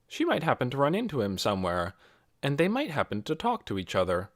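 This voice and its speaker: A man's voice: mid-range voice, wildly swooping pitch, fairly quick, no room sound, studio quality. A man reading a scripted novel aloud as an audiobook narrator. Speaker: audiobook reader.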